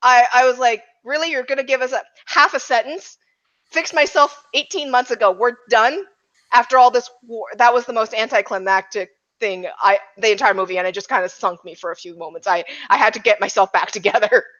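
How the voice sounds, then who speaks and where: A woman's voice: fairly high pitch, a little fast, a bit bright, muffled recording. A woman chatting casually as a podcast panelist, speaking emphatically and repeating phrases for stress. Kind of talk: casual roundtable chat.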